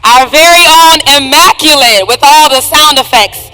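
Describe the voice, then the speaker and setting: A woman presents a cast at a curtain call in a high, very sharp and bright voice. She projects and hypes up the audience at an unhurried pace over music. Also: poor audio. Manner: projected, hyping up the crowd. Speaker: stage host presenting the cast. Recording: live stage sound with loud music.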